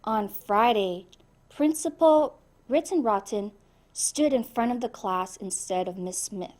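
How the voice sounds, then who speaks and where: A woman reading a children's storybook aloud in an expressive way, addressing young listeners. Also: on the high side, measured pace. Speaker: storybook reader. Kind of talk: animated children's read-aloud.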